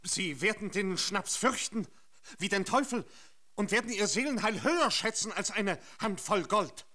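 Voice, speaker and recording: An actor playing an earnest, persuasive mission priest in a radio drama, speaking with insistent emphasis. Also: male voice, high-pitched, animated, normal speed, pushed and bright. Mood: urgent, persuasive.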